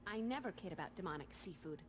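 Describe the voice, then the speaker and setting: A woman's voice: lowish voice, expressive, fast talker, a little hiss or hum, close to an everyday voice. A young American woman playing a brave, wisecracking vampire slayer in a video game, flippant and sarcastic. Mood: dry teasing. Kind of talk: video game cutscene acting. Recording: loud music under the voice.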